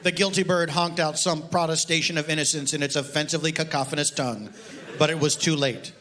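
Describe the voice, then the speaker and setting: A man giving a deadpan, pompous, mock-serious story reading at a live comedy show. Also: a little high, quick pace, a faint crowd.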